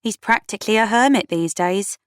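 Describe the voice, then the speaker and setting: A woman's voice: mid-range voice, a little fast, clear, resonant tone, dry recording, studio quality, pitched above the performer's own voice, natural voice. A woman voicing a blunt, gossipy, celebrity-obsessed young woman in an audiobook, incredulous and emphatic. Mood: incredulous gossip.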